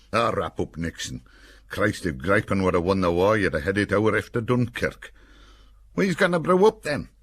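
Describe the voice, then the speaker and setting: A man voicing grumbling Cumbrian soldiers of the 1940s in rough, slangy, sardonic banter for a war memoir. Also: on the low side, a bit bright, dry recording, pitched above the performer's own voice, exaggerated voice. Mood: bickering banter.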